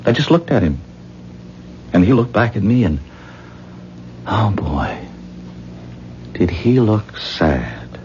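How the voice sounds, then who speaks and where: A man's voice: fairly low pitch, sing-song, slow pace, pauses often, a little mellow. An actor playing a modest, star-struck ordinary man in a 1970s radio drama, speaking hesitantly and earnestly. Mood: wondering, unsettled. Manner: hesitant, self-deprecating, earnest. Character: self-doubting, star-struck ordinary man confiding in a woman. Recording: muffled, noisy 1970s radio broadcast.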